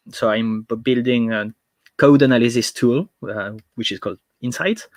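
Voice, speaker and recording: A man's voice: mid-range voice, no echo. French man giving a tech talk. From France. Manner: hesitant, frequent fillers and restarts. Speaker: conference speaker. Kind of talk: technical presentation. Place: home room.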